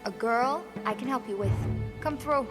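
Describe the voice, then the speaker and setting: An actress playing a flirtatious, welcoming brothel hostess of the 1930s in a video game, with an inviting manner. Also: female voice, a little high, moderate pace, over music, natural voice. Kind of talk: cinematic video game dialogue.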